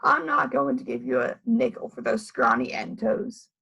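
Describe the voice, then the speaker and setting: A woman's voice: a bass voice, wildly swooping pitch, moderate pace, vocal fry, pushed and bright, husky, dull audio, pitched below the performer's own voice, exaggerated voice. A woman voicing a teasing, persuasive male teacher who haggles in a storybook. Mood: dismissive.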